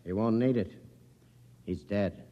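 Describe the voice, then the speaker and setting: A man's voice: lowish voice, a little slow, big-room sound, lo-fi sound, natural voice. An actor playing a blunt, wry spaceship crewman with clipped delivery, in 1950s radio drama style. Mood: subdued.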